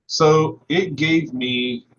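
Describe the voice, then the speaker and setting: A man casually explaining as a guest, halting and going step by step. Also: fairly high pitch, fairly even intonation, a bit bright, muffled recording. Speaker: guest contributor.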